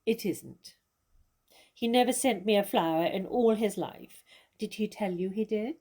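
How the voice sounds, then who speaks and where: An actress playing a famous, worldly stage star who speaks in a measured, composed and condescending way. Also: female voice, mid-range voice, warm tone, close to an everyday voice. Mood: firm denial. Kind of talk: stage-style dramatic dialogue.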